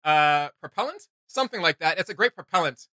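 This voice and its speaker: A man co-hosting a casual reaction video, talking in an animated, rambling way and searching for words aloud. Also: fairly high pitch, brisk, no room sound. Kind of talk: casual rambling discussion to viewers.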